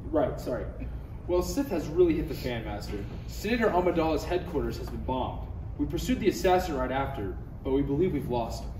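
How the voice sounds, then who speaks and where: In a noisy room, some way from the mic, a man reads a fussy Jedi master in a parody script. The voice is slightly high and animated, with a dry, admonishing delivery. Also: brisk, natural voice. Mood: flustered, reporting. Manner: admonishing, dryly exasperated. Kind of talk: comedy script table read. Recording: distant, echoey, noisy room recording.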